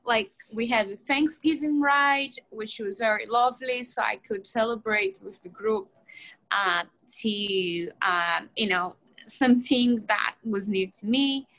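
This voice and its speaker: A woman's voice: fairly high pitch, measured pace, poor audio. A woman from Brazil, introducing herself as a new board member on a video call, chatty with frequent laughs and restarts. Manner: chatty and warm, laughs often, many restarts.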